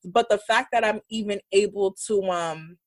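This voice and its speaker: A woman's voice: mid-range voice, no echo. Candid young woman podcaster, dry sound. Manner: open, candid, talks in quick run-on bursts. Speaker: podcast co-host. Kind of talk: casual chat.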